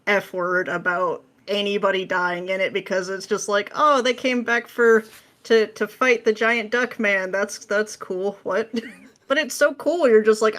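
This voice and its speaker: A woman with a medium-pitched voice chats casually as a podcast guest. She is playful and sarcastic, acting out lines in mock quotation as she makes her points. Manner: animated, sarcastic, playful mimicry. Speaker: podcast guest, script writer. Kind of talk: casual pop culture chat.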